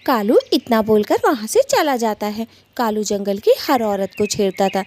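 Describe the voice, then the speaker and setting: A woman's voice: mid-range voice, lively intonation, normal speed, darkish tone, slight background noise. A woman giving scripted narration for a children's cartoon story.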